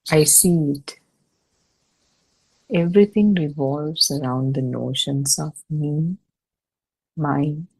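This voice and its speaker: Slow, low-pitched woman reading, husky and gravelly. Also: darkish tone, rough recording. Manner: measured, deliberate, with pauses between short phrases. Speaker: reader and host of an online Buddhist study session. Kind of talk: reading a religious discussion text aloud. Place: online video meeting.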